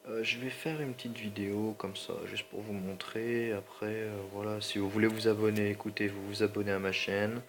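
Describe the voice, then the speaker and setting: A man with a low, gravelly voice gives casual gameplay commentary while nursing a slightly sore throat and a stuffy nose. He rambles in slangy asides and keeps apologizing to his viewers, over a faint ambient hum. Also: little pitch movement, normal speed, hardly any pauses. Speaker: gaming channel host.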